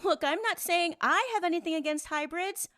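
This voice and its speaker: A woman's voice: extremely high pitch, moderate pace, sharp tone, no echo, studio quality. A woman acting a blunt, gossipy cafe customer in a fantasy audio drama. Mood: blunt, defensive. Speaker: voice actor in a fiction podcast.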